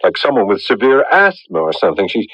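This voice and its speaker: An actor playing an anxious, distraught young fiancé in a 1980s radio drama, halting and repeating his words. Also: fairly low pitch, big pitch swings, dry recording. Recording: muffled old radio broadcast.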